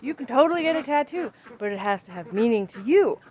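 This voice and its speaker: A woman's voice: on the high side, big pitch swings, fast talker, a little mellow. A woman in her thirties chatting casually in a vlog, rambling and emphatic as she works outdoors in her backyard. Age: 30s. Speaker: vlogger.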